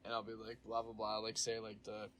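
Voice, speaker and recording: A young man co-hosting a podcast, talking in a casual, energetic ramble full of fillers. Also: fairly low pitch, a little fast, a little hiss or hum. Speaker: podcast co-host. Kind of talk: casual chat.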